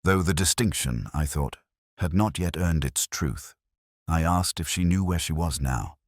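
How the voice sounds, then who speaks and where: A man reading a first-person mystery story in a measured, reflective way. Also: rumbling low, no room sound, clean studio sound. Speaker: narrator.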